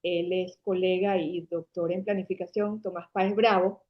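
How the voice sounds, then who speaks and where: A woman's voice: fairly low pitch, flat delivery, moderate pace. A woman from Caracas with a Venezuelan accent, in her forties, telling her story at length as an interview guest. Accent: Venezuelan Spanish from Caracas. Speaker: guest, marketing agency founder. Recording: dull lo-fi sound.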